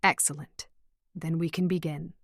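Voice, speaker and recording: A woman's voice: sits low, fairly even intonation, moderate pace, dry recording, clean studio sound. A woman playing a middle-aged female doctor, cool and formal, in an audiobook. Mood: cool, satisfied.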